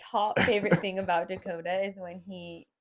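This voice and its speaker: Young woman with gravelly voice. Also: medium pitch, little pitch movement, normal speed, a crowd in the background. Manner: teasing, laughs easily. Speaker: podcast co-host. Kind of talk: casual chat.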